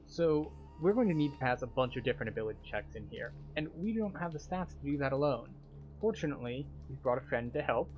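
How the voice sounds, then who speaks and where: A man's voice: medium pitch, animated, fast talker, darkish tone, dull audio, faint music behind. A man narrating a video game walkthrough as a tour guide, explaining each step. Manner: explanatory, guiding.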